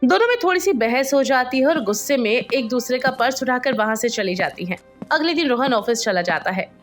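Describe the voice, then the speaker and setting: A woman delivers scripted story narration in a high voice. Her intonation is animated and varied, and music runs softly beneath her. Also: a little fast.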